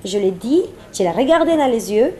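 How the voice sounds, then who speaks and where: A woman's voice: a little high, very animated, moderate pace, warm tone. A woman from Venezuela speaking French as a second language with a Spanish accent, chatting playfully as an interview guest and often repeating phrases for emphasis. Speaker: guest, singer-songwriter. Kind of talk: casual, playful interview chat.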